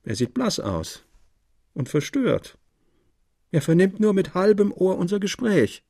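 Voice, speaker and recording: A man narrates a literary tale in a husky voice with a gravelly edge. His pitch moves in lively waves through the formal, scripted prose. The sound is dry and close. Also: a little slow, no room sound. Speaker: narrator of a literary audio play.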